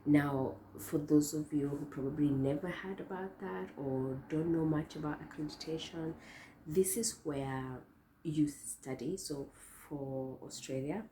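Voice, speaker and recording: A hoarse young woman talks to her viewers in a loose, rambling vlog style, full of fillers and restarts. Her voice is very deep and mellow. The room has a roomy echo with a faint hum behind it. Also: a little slow. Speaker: vlogger host. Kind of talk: casual talk to camera. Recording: echoey room.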